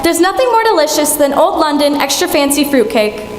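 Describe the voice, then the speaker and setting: Woman doing cheery old radio ad lady, super high voice, echoey hall. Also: very animated, a little fast. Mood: promotional. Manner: polished, promotional. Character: cheery radio advertising saleswoman. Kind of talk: old-time radio sponsor advert. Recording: echoey hall, faint noise.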